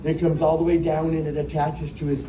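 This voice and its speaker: A man's voice: a little high, little pitch movement, fairly quick, a crowd in the background. A man working as a puppeteer, talking playfully and teasingly to children at a live show and asking them questions. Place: echoey room with a live audience. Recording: echoey, noisy live room from a distance.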